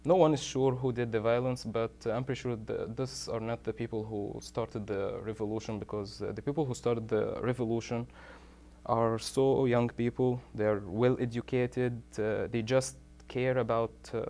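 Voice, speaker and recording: A man's voice: mid-range voice, fairly even intonation, darkish tone. Young man, hesitant interviewee, level unvaried delivery. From Egypt. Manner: halting, many "uh" fillers. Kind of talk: interview answers.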